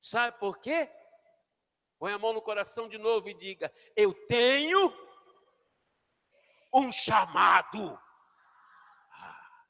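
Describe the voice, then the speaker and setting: A pastor preaching a sermon in a church, shouting then dropping to near whispers as he calls the congregation to repeat after him. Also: high voice, animated, slow pace, pauses often. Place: church hall. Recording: long hall reverb.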